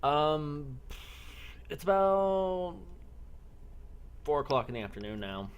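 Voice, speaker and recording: A man's voice: a little high, very animated, slow, clear, resonant tone, muffled recording. A man acting as game master, narrating a role play in a blunt, casual way and sometimes swearing. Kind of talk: narrating a live tabletop-style role play. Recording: noisy online voice chat.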